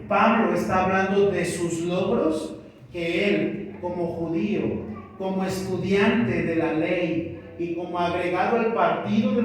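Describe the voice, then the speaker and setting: Slow-speaking preacher man in an echoey church. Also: high voice, a little mellow, a little hiss or hum. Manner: expository preaching, voicing Paul's words in quotes. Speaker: pastor. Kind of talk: sermon. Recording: echoey church from a distant mic.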